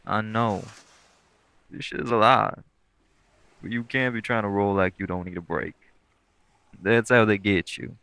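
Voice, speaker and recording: Man playing a low, gravelly, sweet boyfriend. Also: steady tone, measured pace, pauses often, bright, forceful tone, heavily acted. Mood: gently firm. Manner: laid-back, coaxing, slangy. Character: sweet, caring, teasing lightning elemental boyfriend. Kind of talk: intimate boyfriend audio roleplay, casual speech.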